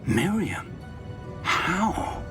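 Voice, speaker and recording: An actor playing a wise, kindly older wizard professor with a British accent, articulate and dryly good-humoured, in a video game. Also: male voice, sits high, big pitch swings, drawn-out words, music in the background, heavily acted. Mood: concerned. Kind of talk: video game cutscene acting.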